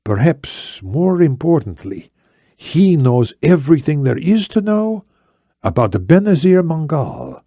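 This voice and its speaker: Man voicing an intense older Spanish spymaster, raised pitch. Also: very animated, warm tone, no room sound, studio quality. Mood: confiding, eager. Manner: deliberate, formal, emphatic. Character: older Spanish man, retired intelligence officer, intense, shrewd, commanding. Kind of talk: acted audiobook dialogue.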